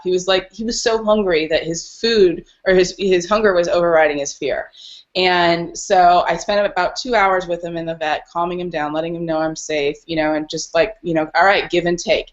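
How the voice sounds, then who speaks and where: A woman's voice: on the low side, normal speed, lo-fi sound. A woman speaking as a dog rescuer and podcast guest, telling stories casually and earnestly. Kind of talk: casual interview storytelling.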